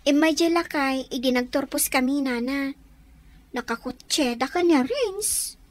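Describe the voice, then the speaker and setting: A woman's voice: high voice, measured pace. A woman with an Ilocano accent playing a worried, respectful young woman in a radio drama. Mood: earnest, explaining.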